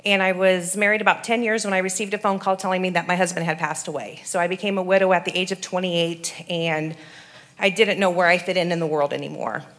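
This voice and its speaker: A woman in her forties from Iowa tells her story to a church audience. She speaks earnestly and steadily and often pauses with an um. Her voice sits slightly low for a woman. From Iowa, USA. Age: 40s. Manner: earnest, steady, with frequent ums. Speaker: rehab program resident giving testimony. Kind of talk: church testimony.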